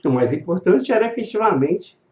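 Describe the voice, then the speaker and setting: Animated man in an echoey room. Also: high voice, a little fast. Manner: thoughtful, with frequent hesitations. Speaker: language teacher and method founder. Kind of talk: casual talk to camera. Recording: echoey room, slightly muffled audio.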